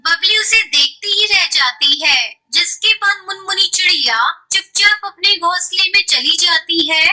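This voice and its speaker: A woman's voice: very high-pitched, a little slow, few pauses, pushed and bright, rough recording. A woman narrating a scripted children's cartoon story as the storyteller.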